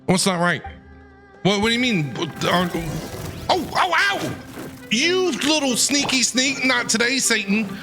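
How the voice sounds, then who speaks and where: A man's voice: very high-pitched, very animated, takes it slowly, over music. A man reacting live as a game streamer, playful, jokey and theatrical in his commentary.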